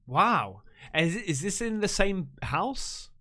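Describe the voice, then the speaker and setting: A man hosting a live stream, chatting with callers in a chummy, excitable way full of slang. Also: high-pitched, slow pace. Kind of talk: casual live chat.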